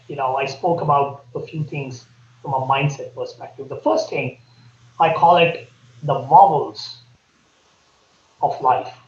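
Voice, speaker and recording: A man with an Indian accent, a podcast guest laying out his points earnestly and deliberately. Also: a little high, a bit bright. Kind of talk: podcast interview. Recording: echoey, dull remote audio.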